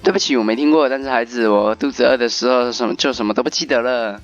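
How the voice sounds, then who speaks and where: A man's voice: mid-range voice, fast talker, muffled recording. A man giving casual game commentary and reading story text aloud. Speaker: gaming video host. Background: game music.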